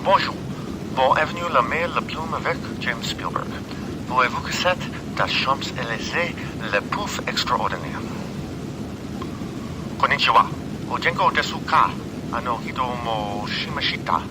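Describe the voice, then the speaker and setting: A man's voice: expressive, normal speed, lightly acted. A man playing a cheerful, absurd airline announcer with mock-formal patter that switches between languages. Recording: noisy tape with low rumble.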